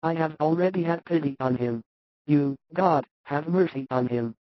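A man voicing an anxious, devoted wife who pleads softly for her sick husband in a dramatised scripture story. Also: on the high side, moderate pace, no room sound, dull audio, voice pushed up, lightly acted. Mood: imploring. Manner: soft, imploring.

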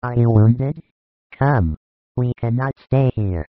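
Man voicing a calm, kind religious teacher. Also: mid-range voice, takes it slowly, dry recording. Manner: calm, gentle, firm. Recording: tinny phone-like dry recording.

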